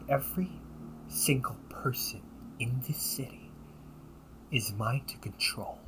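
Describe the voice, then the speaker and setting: A man playing a villainous older brother, tender, remorseful and obsessively resolved, in a hushed, deliberate audio roleplay monologue. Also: on the low side, rough recording. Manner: slow, hushed, often whispering, deliberate pauses.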